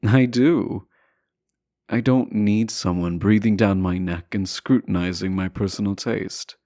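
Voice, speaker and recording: A man playing a teasing, self-assured deposed prince in a romantic audio roleplay, speaking softly and deliberately with courtly, formal phrasing. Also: on the low side, dry recording, clean studio sound. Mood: probing, defensive. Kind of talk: romantic audio roleplay acting, addressing the listener.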